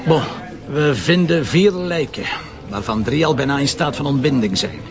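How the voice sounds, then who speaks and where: An actor playing a jovial, teasing, stubborn Flemish police commissioner in colloquial, emphatic radio drama dialogue. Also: male voice, mid-range voice, animated, normal speed, a crowd in the background, lightly acted. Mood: pondering, serious. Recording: dull lo-fi sound with music behind.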